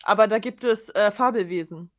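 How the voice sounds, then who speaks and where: A woman's voice: medium pitch, fairly quick. A woman in an informal podcast conversation, chatting casually about an audio drama. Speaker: podcast co-host.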